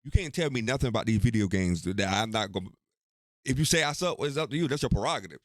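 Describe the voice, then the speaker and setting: A man's voice: mid-range voice, expressive, fast, no room sound, clean studio sound. A man in his forties co-hosting a casual podcast, blunt and emphatic with stammered restarts. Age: 40s. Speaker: podcast co-host.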